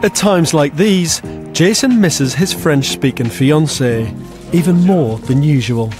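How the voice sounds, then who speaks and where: A man presents a scripted documentary in a fairly high male voice. His narration runs over clearly audible music. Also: animated, slow. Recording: music behind the voice.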